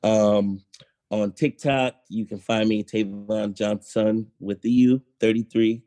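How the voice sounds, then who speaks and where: A young man, a musician guest on a podcast, speaking in a relaxed, matter-of-fact way. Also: fairly low pitch, slow. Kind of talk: casual interview chat.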